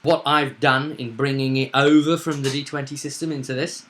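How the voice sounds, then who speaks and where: A man's voice: medium pitch, little pitch movement, normal speed, fairly bright tone. A man hosting as game master, chatting casually about a tabletop roleplaying game and often repeating himself with tag questions.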